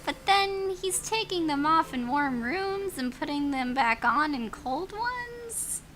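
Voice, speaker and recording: A woman's voice: sits high, expressive, slow, fairly bright tone, a little hiss or hum, rough recording. A woman co-hosting a casual let's play, reading a story game aloud expressively and adding amused asides.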